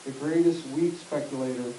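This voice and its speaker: A man reading a text aloud in an even, steady way for another speaker. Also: mid-range voice, normal speed, reverberant, a little hiss or hum, poor audio. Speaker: reader for the speaker.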